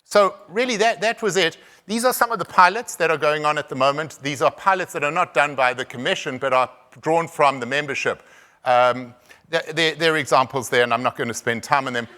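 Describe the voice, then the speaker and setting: A man with a South African accent giving a conference presentation, halting, with frequent restarts and repeated words. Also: on the high side, pushed and bright. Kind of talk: conference talk.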